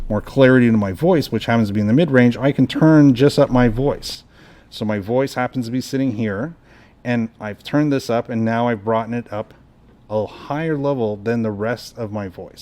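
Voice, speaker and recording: A man hosting a hands-on equipment tutorial, calmly explaining each step as he adjusts the controls. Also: mid-range voice. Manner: relaxed, explanatory, talks through each step while adjusting knobs. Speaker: product tutorial host. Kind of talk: casual hands-on equipment demo.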